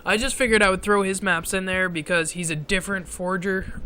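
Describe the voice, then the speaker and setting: A man giving casual commentary over gameplay footage, halting with many fillers, clearing his throat often, his voice strained from talking so long. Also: high voice, sharp tone, a little hiss or hum. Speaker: gaming video creator.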